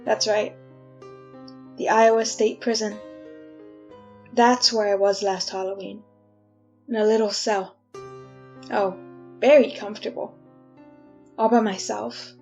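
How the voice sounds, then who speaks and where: A woman's voice: medium pitch, fairly even intonation, slow, long pauses, darkish tone, highs cut off. A woman playing a resigned, wry condemned prisoner in a 1940s-style radio drama, talking in a folksy, confiding way. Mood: wry, brooding. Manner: colloquial, confiding. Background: music.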